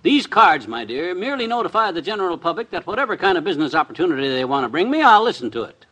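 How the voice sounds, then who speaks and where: A boastful American husband who fancies himself a tycoon. His high voice leaps up and down with a bright, pushy edge. It is folksy, blustery old-time radio comedy. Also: very animated, fast, full character voice. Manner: folksy, stammering when flustered. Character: boastful, blustering, scheming small-time husband, self-styled businessman. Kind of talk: 1950s radio comedy acting.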